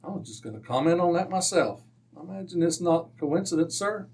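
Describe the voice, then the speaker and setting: A man playing a hearty, genteel gentleman doctor, a detective's companion, in an old-time radio drama. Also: a little high, expressive, fairly quick, creaky, distant mic.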